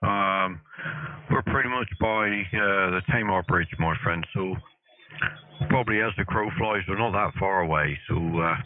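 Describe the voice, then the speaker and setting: A man's voice: low voice, unhurried. A man from Plymouth, England, operating a ham radio station in a friendly, chatty way. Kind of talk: casual ham radio chat. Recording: phone-like narrow band.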